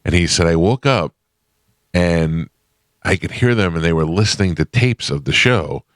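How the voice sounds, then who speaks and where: Deep-voiced radio host, dry studio sound. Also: male voice, animated, moderate pace, a bit bright, dry recording. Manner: joking, storytelling. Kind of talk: casual morning radio banter. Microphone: studio microphone.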